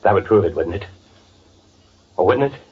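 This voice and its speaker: Guilt-ridden impostor twin, vintage radio melodrama. Frantic, halting, very animated, through narrow lo-fi sound. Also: male voice, deep voice, breathy edge, heavily acted. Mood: desperate. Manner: stammering, pleading, melodramatic. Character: frantic, guilt-ridden man posing as his dead twin. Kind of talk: old-time radio drama acting. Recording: tinny, noisy old radio recording.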